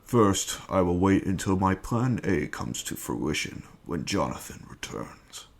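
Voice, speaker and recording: A man acting in an amateur dramatic reading as a scheming, menacing villain bent on conquest, speaking in a measured, formal, commanding way. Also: deep voice, sing-song, vocal fry, heavily acted. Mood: menacing, imperious. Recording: music bed under the voice.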